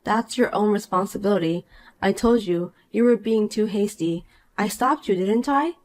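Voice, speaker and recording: A woman voicing a calm, cool married young woman in a dramatized story, dry and patient. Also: mid-range voice, moderate pace, lightly acted.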